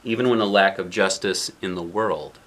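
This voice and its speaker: Expressive man reading a picture book. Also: low voice, normal speed, noisy background. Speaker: picture book reader, dad. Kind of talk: read-aloud with casual asides to children.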